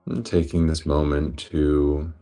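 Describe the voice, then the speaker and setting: A man guiding a breathwork meditation in a gentle, unhurried, reassuring manner. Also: extremely low pitch, little pitch movement, soft background music. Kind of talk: guided meditation instruction.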